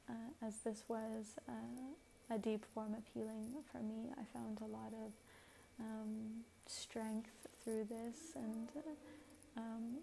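Soft-voiced woman doing a gentle spiritual talk, kinda flat and low-key, lots of ums. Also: vocal fry, a little hiss or hum, poor audio. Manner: gentle, hesitant, many fillers. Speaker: spiritual podcast host.

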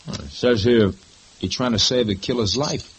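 An actor playing a mocking, domineering husband in a 1950s radio drama, talking with a sarcastic edge. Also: male voice, medium pitch, normal speed, background noise, close to an everyday voice. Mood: sneering. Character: sneering, bullying husband and witness.

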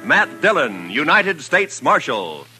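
Stern vintage radio announcer, fairly high voice, declamatory, over loud music. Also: male voice, very animated, slow pace. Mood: grand, stern. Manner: grand, declamatory.

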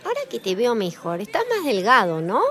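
A woman playing a gracious, lively dinner hostess in a radio play. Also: mid-range voice, a little fast, faint music behind.

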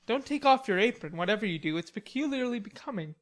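A woman's voice: medium pitch, expressive, fast, pitched above the performer's own voice, close to an everyday voice. Lady doing a jokey young gent in an audiobook, kinda theatrical and teasing, voice lifted up. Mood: playful, mock-weary. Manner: playful, theatrical, exclamatory. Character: cheerful, teasing young gentleman, tall and melodramatic. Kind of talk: audiobook character dialogue.

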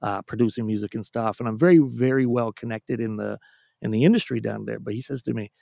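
A man's voice: mid-range voice, fast talker, vocal fry, dark tone, dry recording, studio quality. A Canadian man chatting casually, telling long anecdotes with frequent restarts. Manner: storytelling, self-deprecating, many restarts. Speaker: music producer and guest. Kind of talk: casual podcast chat.

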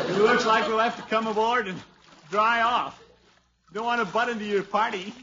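An actor playing a flustered, sheepish, excitable middle-aged man in a 1950s radio comedy. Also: high voice, takes it slowly, full character voice. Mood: sheepish, laughing. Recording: muffled, noisy old radio recording.

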